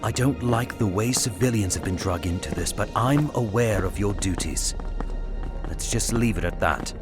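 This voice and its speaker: A man's voice: on the low side, normal speed, close to an everyday voice. A man voicing a terse, guarded and uneasy knight commander in a fantasy audiobook drama. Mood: restrained displeasure. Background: background music.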